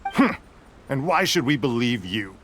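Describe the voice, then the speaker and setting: A man's voice: medium pitch, big pitch swings, measured pace, fairly bright tone, slight background noise. A man playing a suspicious, hot-headed soldier in a video game, confrontational and skeptical. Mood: suspicious, accusing. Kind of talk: scripted video game character acting.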